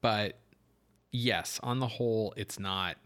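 A man co-hosting a film podcast, explaining things in a measured way as he moves between prepared notes and discussion. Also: fairly low pitch, fairly bright tone. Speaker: podcast co-host.

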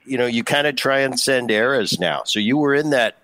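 A man who is a former player hosting a podcast, asking questions in a halting, repetitive, chatty way. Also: mid-range voice, bright, forceful tone, no echo. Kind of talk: casual interview chat.

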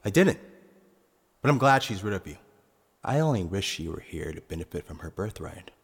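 A man plays a vengeful phantom-like nobleman with a low voice and cold, measured menace. His words are formal and deliberate, ringing with long hall reverb in a fantasy audio drama. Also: big pitch swings, creaky, slightly rough, exaggerated voice. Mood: stern. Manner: deliberate, cold, eloquent threats.

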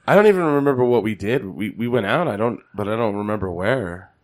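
A man co-hosting a casual chat show, raspy from being ill, swearing freely and joking around. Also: on the low side, lively intonation, a little fast. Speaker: podcast co-host. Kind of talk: casual banter.

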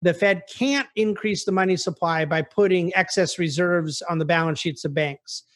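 A man's voice: high-pitched, a bit bright. A man speaking as an investment officer on a conference call, giving articulate, emphatic explanations in answer to investor questions. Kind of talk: conference call market commentary.

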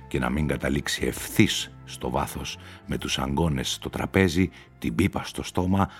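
A man narrating a literary short story for radio in a measured, deliberate manner. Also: a bass voice. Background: music.

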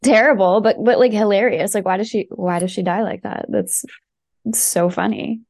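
A woman's voice: medium pitch, fairly even intonation, normal speed, vocal fry, a little mellow. A woman chatting casually and enthusiastically on a podcast, with many fillers and restarts. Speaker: podcast guest recommending books. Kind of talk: casual chat.

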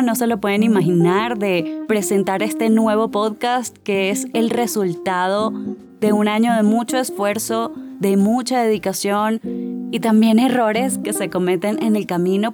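A woman from Venezuela with a Caracas accent, hosting a motivational podcast and telling her own story in an upbeat way. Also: mid-range voice. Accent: Caracas Venezuelan Spanish. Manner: upbeat and warm, personal storytelling. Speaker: podcast host. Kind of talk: scripted motivational podcast narration.

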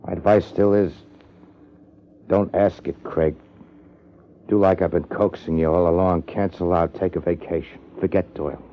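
An actor playing a gruff, threatening American boxing trainer guarding a secret in a 1950s radio drama, on a muffled old recording. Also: male voice, low-pitched, fairly even intonation, moderate pace, long pauses, slight background noise, natural voice. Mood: menacing.

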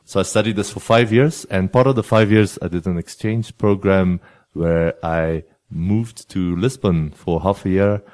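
Male guest, deep voice, calm and thoughtful. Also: unhurried. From Belgium. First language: Flemish. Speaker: guest, yoga teacher. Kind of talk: relaxed interview storytelling.

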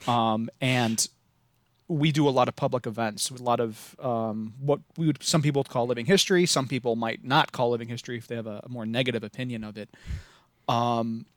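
Midwestern guy, 30s, eager rambling talker, mid pitch, dry close recording. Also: fairly even intonation, brisk, dry recording. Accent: American Midwestern. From American Midwest. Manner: rambling, enthusiastic, many restarts. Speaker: podcast guest, living history reenactor. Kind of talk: casual podcast interview.

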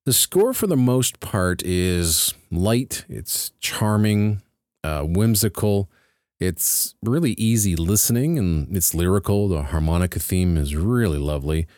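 A man with a low, deep voice hosts a film-music podcast in an easygoing but enthusiastic way, stressing the words he cares about. The sound is close and dry, with no room echo. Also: expressive, a little slow, darkish tone. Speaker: podcast host. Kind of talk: scripted radio-style presentation.

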